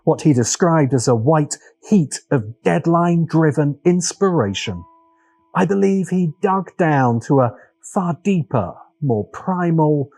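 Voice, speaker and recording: A man narrating a scripted video essay with dramatic, deliberate phrasing and weighty pauses. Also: fairly high pitch, lively intonation, warm tone. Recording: music behind the voice. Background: music.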